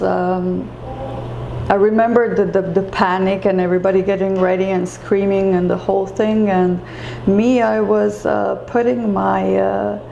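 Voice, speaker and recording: Slow, gravelly woman in an echoey room. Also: mid-range voice, mellow, highs cut off. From Croatia. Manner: halting and reflective, many fillers and restarts. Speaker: interview guest. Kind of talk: personal story in an interview. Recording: echoey room with faint ambient noise.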